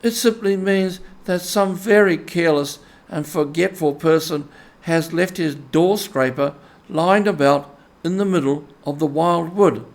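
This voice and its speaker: A grumbling, stubborn storybook mole, performed by a man reading aloud. He talks slowly and deliberately, with pettish sarcasm, his pitch raised in huffy complaint. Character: grumbling, stubborn, literal-minded male mole. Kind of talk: animal character in storybook reading.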